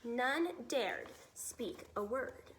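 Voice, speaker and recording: Female storybook reader: high voice, expressive, dramatic emphasis, wide swings of intonation. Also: very slow, slight background noise. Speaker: teacher reading a children's book aloud.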